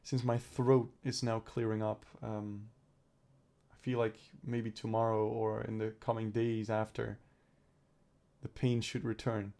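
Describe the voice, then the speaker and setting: Gravelly young man rambling in a vlog. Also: on the low side, little pitch movement, unhurried, mellow. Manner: rambling, restarts and swears, thinking aloud. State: recovering from a cold, throat clearing up. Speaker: vlogger. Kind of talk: personal video diary.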